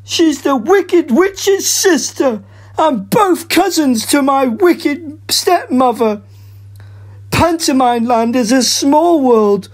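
A woman's voice: high-pitched, lively intonation, takes it slowly, darkish tone, voice pushed up. A woman voicing a fretful young princess in a radio pantomime, plaintive and indignant.

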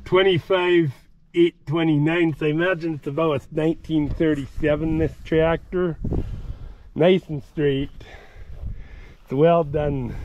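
A man's voice: a little high, a little mellow, lo-fi sound, slight background noise. A man giving casual walkaround commentary outdoors at a farm auction, plain-spoken, thinking aloud and often restarting his sentences. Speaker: tractor vlogger touring an auction. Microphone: camera carried at hand, wide angle.

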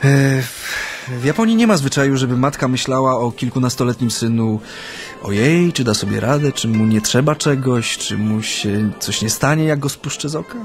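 A man's voice: mid-range voice, close to an everyday voice. Guy acting polite japanese exile in a radio drama, mid voice, hesitant and reserved, music behind. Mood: reflective. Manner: hesitant, polite, filled with pauses.

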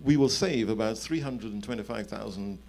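A man's voice: fairly low pitch, fairly quick, gravelly, darkish tone. Middle-aged man explaining on a panel. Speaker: panelist, company chief executive. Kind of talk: panel discussion talk, explaining. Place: indoor conference event on a stage. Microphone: handheld microphone.

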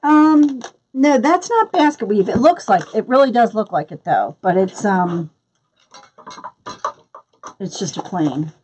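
A woman's voice: medium pitch, expressive, measured pace, pauses often, darkish tone, dull audio, slight background noise. A woman with a Philadelphia accent hosting a live craft tutorial, chatting and greeting viewers by name as she works. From Philadelphia area, US. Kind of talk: live-stream craft demonstration.

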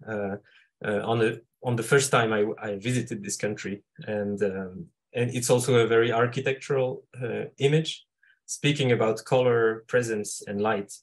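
A man from Switzerland speaking as a guest architect in an illustrated online lecture, reflective and halting as he thinks aloud. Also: mid-range voice. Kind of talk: illustrated lecture over slides. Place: indoors, online video call.

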